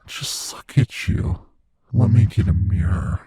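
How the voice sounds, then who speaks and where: Man voicing a husky, low, ancient monster. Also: fairly even intonation, no room sound, clean studio sound, exaggerated voice. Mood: gloating, playful menace. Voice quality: hushed, husky, breathy low voice. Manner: slow, menacing, philosophical, intimately taunting. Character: ancient immortal male monster, sadistic, world-weary, savoring fear. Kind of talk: ASMR horror audio roleplay. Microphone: close studio mic, dry.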